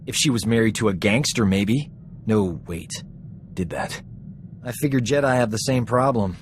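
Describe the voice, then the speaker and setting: A man's voice: on the low side, moderate pace, a little hiss or hum, lightly acted. An actor playing a roguish, flippant combat medic and ladies' man in a video game. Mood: breezy, boastful. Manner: glib, breezy, self-satisfied, flippant.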